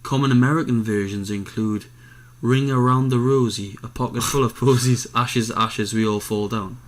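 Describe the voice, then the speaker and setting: A man's voice: medium pitch, steady tone, normal speed. A man co-hosting a podcast in casual chat, musing and asking questions with the odd swear word. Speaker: podcast co-host.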